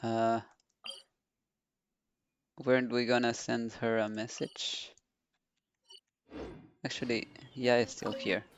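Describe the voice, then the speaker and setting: Low-key streamer with very flat delivery. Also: female voice, takes it slowly, long pauses, no echo, lo-fi sound. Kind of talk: casual game stream commentary. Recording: faint game music behind the voice.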